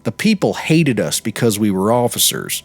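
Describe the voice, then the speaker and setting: Measured male story narrator over soft music. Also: lowish voice, sing-song, slightly rough, no room sound. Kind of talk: dramatic literary story reading.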